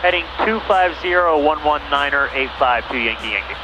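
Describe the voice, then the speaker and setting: A man's voice: a little high, a little fast. A man flying a small plane, speaking casually and in clipped radio calls over an aviation headset. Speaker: private pilot showing a friend the flight. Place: inside a small plane in flight. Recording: noisy light aircraft cockpit headset audio. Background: steady engine noise.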